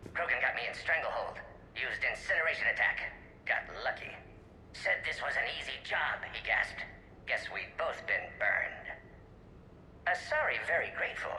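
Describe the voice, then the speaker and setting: Alien playing terse noir detective. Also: male voice, mid-range voice, bright, forceful tone. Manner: clipped, terse, noir storytelling. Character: male alien scientist narrating as hard-boiled detective. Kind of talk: hard-boiled detective narration in a video game. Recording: echoey recording with faint noise.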